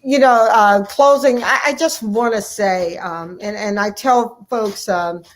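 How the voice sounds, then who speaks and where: A middle-aged woman with a medium-pitched voice speaks as a guest in a conversational interview. She is encouraging and deliberate, pausing often and repeating key phrases to underline them. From United States, Ohio. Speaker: guest, family physician and academy president-elect.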